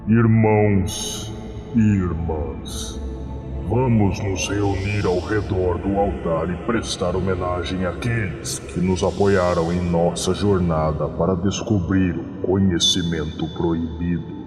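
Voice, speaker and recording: A man playing a solemn, ceremonious cult priest of dark ancient gods, preaching as if at a ritual in a horror podcast. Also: deep voice, pitched below the performer's own voice. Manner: solemn, ceremonious, drawn-out delivery. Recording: long cavernous reverb with music behind.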